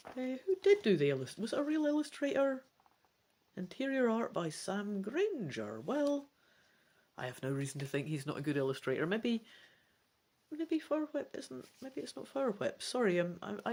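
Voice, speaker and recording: A woman's voice: on the high side, huge pitch swings, moderate pace, darkish tone. A woman presenting a gamebook playthrough, switching between theatrical reading and chatty casual commentary. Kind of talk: dramatic reading aloud mixed with casual commentary.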